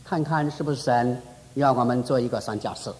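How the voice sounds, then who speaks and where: A man's voice: medium pitch, fairly quick, a little mellow. A man preaching a fervent sermon at a church conference. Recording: reverberant hall.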